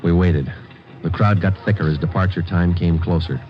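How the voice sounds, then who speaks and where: An American man playing a stoic police detective sergeant who narrates his case in terse, deadpan 1940s radio style. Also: sits low, normal speed, close to an everyday voice. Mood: matter-of-fact. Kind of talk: 1940s radio drama first-person narration. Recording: dull old radio recording with music.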